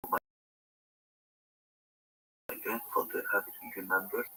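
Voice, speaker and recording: Guy, low-ish voice, slow and halting, repeats himself, bit of background hiss. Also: flat delivery, pushed and bright, rough recording. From Serbia (Vojvodina). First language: Hungarian. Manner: halting, repeats words while searching for English. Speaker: guest caller in a livestream chat. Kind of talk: casual chat.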